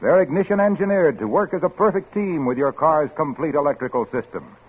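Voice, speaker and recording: A man's voice: fairly high pitch, animated, a little fast, husky. A man working as a 1940s radio announcer, reading scripted copy and ads with a polished sales manner. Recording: tinny 1940s radio recording, music behind.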